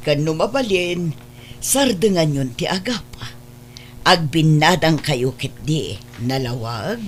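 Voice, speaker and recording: A woman's voice: low-pitched, lively intonation, slow pace, a little hiss or hum. A woman playing a loving, hopeful, well-off adoptive mother in an Ilocano audio drama, with an Ilocano accent. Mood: motherly.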